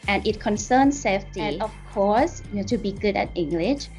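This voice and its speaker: Woman, mid-pitched, methodical interviewee explaining her profession, music underneath. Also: lively intonation, moderate pace. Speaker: air traffic controller being interviewed. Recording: soft music behind the voice.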